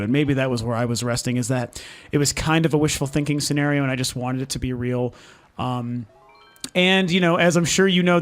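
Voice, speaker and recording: Hoarse man ranting on his vlog. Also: a little high. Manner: frustrated, candid, swears freely. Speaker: video game channel host. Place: lives in Denver.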